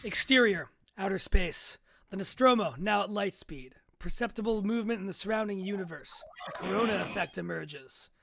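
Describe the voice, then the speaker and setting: A man's voice: high voice, lively intonation, normal speed, vocal fry, fairly bright tone, dry recording. A man reading scene directions from a sci-fi screenplay as narrator at a table read.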